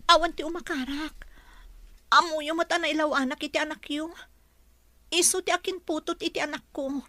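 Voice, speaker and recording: A woman's voice: high-pitched, fairly even intonation, moderate pace, sharp tone, no echo. A woman with an Ilocano accent playing a weary, pleading young mother who works as household help, in a radio-style drama. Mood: pleading, hurt.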